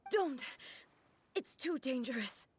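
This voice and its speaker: A woman voicing a stoic, commanding warrior ruler in a video game, formal and measured in manner. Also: high-pitched, expressive, darkish tone, breathy edge, full character voice. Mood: urgent. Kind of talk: English video game voice acting.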